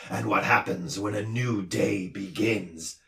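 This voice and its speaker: A man's voice: lowish voice, takes it slowly, gravelly, reverberant, distant mic, exaggerated voice. A man doing a pompous, roaring noise doctor. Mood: lecturing. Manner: loud, roaring, lecturing. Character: booming gruff male noise doctor, pompous.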